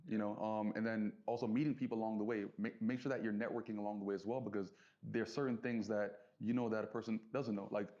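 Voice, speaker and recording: A man talking casually and reflectively as an interview guest, earnest and halting, circling back over his phrases. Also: fairly low pitch, little pitch movement, mellow. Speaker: interview guest, business entrepreneur. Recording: dull lo-fi audio.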